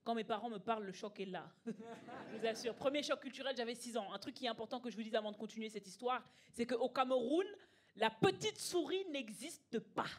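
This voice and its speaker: A woman's voice: on the high side, a little fast. A woman tells stand-up stories in an emphatic, conversational way, acting out dialogue. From Belgium. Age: early 20s. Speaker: stand-up comedian. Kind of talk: live stand-up storytelling. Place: comedy club stage. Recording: live stage with audience laughter. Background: audience laughing.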